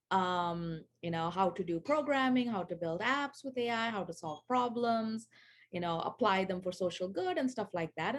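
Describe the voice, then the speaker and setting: A woman in her forties from Sri Lanka, chatting as an interview guest with lots of fillers and restarts. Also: medium pitch. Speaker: guest, AI education founder. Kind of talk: casual interview over video call.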